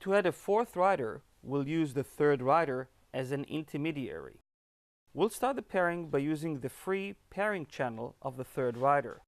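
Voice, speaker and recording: A man's voice: on the high side, expressive, normal speed. A man giving scripted step-by-step instructions as an upbeat tutorial presenter.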